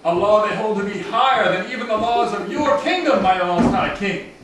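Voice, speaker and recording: A man puts on a cruel, scheming puppet-show villain with a high, pompous, sneering voice. He is theatrical and self-important, heard from a distance in a very echoey room. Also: normal speed, fairly bright tone. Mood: oily, deferential. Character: cruel, scheming male villain, pompous second-in-command to a king. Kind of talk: amateur puppet show acting for children. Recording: echoey room, distant mic, background noise.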